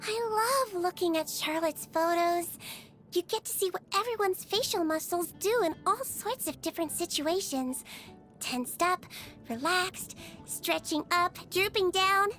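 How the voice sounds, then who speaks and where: A woman playing a small, sweet, earnest creature who works as a nurse in a video game, gentle and bubbly with an innocently clinical manner. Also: extremely high pitch, measured pace. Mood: admiring. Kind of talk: video game character voice lines. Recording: music behind the voice.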